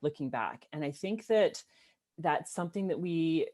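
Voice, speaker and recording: Low-voiced, thoughtful woman co-host. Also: lively intonation, mellow. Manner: measured, thoughtful, with fillers. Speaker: podcast co-host. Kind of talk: interview questions and reflections.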